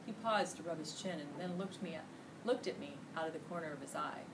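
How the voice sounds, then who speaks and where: A middle-aged American woman reading a children's storybook aloud with expressive, emphatic delivery. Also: low-pitched, brisk. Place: indoor school classroom. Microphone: distant camera microphone. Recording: distant camera mic, noisy room.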